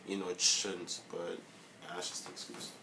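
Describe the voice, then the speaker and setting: Young male vlogger, low monotone voice, hesitant and filler-heavy, faint hiss behind. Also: gravelly, rough recording. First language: English. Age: young adult. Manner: halting, many fillers and restarts. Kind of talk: casual motivational monologue.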